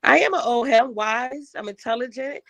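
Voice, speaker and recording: A woman's voice: a little high, moderate pace, a bit bright, no room sound. Emphatic slangy woman in her forties. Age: 40s. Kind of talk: casual group chat.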